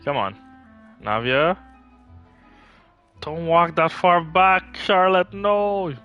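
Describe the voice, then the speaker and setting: A man's voice: a little high, lively intonation, takes it slowly, clear, resonant tone, highs cut off. A man doing casual live gaming commentary, chatting and thinking aloud as he plays. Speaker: game livestreamer. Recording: music playing behind. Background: music.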